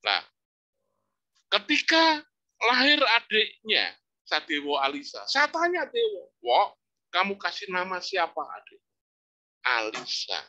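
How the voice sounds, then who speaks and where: Bright-voiced older professor telling stories. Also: male voice, high voice, slow pace, long pauses, muffled recording. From Indonesia. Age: 60s. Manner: storytelling, lively rising emphasis, religious exclamations. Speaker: psychology professor giving a talk. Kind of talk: informal religious study lecture.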